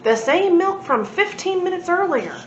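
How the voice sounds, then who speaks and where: A woman's voice: extremely high pitch, big pitch swings, normal speed, echoey room, lo-fi sound, a little hiss or hum, voice pushed up. A woman voicing a small, globby green alien who is smug and then hesitant, in a storybook.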